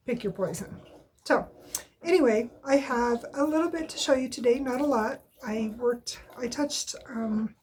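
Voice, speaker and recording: A woman's voice: medium pitch, darkish tone, slight background noise, rough recording. A woman with a stuffy nose from allergies, chatting casually at home in a weekly crafting update, rambling and often correcting herself. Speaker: cross-stitch vlogger. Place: at home, indoors.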